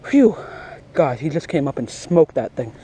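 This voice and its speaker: A young man giving casual, slangy and excitable fishing commentary outdoors, recorded on a body-worn action camera. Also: a little high, normal speed, slight background noise. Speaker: fishing vlogger. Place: outdoors beside a grassy pond.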